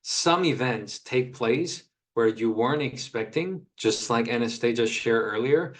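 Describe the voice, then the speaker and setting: A man speaks earnestly and warmly, pausing on fillers as he presents to a live call audience. His pitch is mid-range, and the sound comes through a video call. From Korea. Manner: earnest, friendly, many fillers. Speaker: sales executive.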